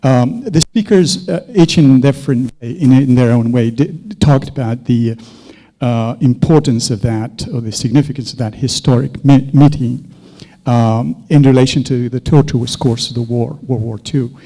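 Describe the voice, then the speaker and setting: A man's voice: mid-range voice, normal speed, mellow, poor audio. A man giving an academic conference talk, hesitant with frequent fillers. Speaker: academic speaker. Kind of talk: conference lecture. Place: seminar hall.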